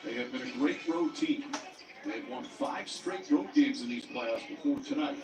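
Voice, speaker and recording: A man calling a live basketball game as play-by-play commentator, jumping up in pitch on big plays. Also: normal speed. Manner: animated, with sudden jumps up in pitch on big plays. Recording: broadcast audio played in an echoey room, mic far off, music over it. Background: music playing loud.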